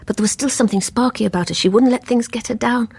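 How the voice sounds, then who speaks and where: An actress playing a guarded, troubled middle-aged English woman, telling her story haltingly and reflectively in a radio drama. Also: fairly high pitch, creaky, a little mellow, breathy edge, dry recording. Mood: wry, reflective. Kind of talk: first-person radio drama narration.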